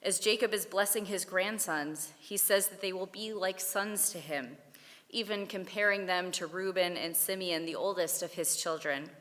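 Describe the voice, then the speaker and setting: A woman giving a prepared Torah talk at a service, with a measured, deliberate delivery. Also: fairly low pitch, fairly bright tone. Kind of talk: prepared sermon read aloud. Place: synagogue sanctuary. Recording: long hall reverb.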